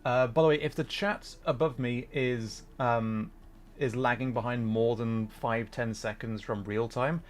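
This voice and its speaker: A man hosting a gaming livestream, chatting casually and thinking out loud in a rambling way as he plays. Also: mid-range voice, steady tone, measured pace. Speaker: livestream host. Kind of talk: casual gaming livestream chat while playing. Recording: music playing behind the voice. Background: music.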